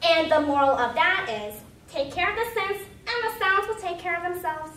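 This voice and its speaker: A woman playing an affectionate, moralizing old Duchess in readers theater, with a drawn-out, singsong delivery. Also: very high voice, bright, forceful tone. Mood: gushing. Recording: echoey room, distant mic, noisy.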